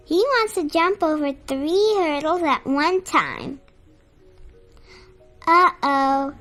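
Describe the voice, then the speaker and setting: High voice reading a kids book out loud, slow n expressive, bit of music behind. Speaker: children's storybook reader. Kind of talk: read-aloud storytelling for children.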